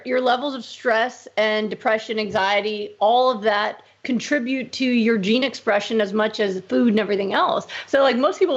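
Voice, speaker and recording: A middle-aged woman physician, a guest in a casual interview, talking in quick emphatic fragments with many "like"s and "right?"s. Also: fairly high pitch, muffled recording.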